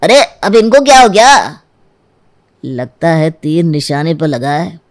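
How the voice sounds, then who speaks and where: A woman's voice: deep voice, animated, slow pace, heavily acted. A woman voicing a smug, meddling male divorce lawyer in a story, reassuring and insinuating.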